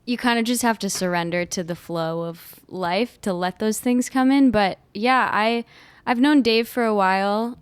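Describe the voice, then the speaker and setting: A woman in her late twenties from Nashville, a singer-songwriter guest on a podcast, bubbly and upbeat and quick to laugh. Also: mid-range voice, clean tone, dry recording. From Nashville, United States. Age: 20s. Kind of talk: casual interview chat.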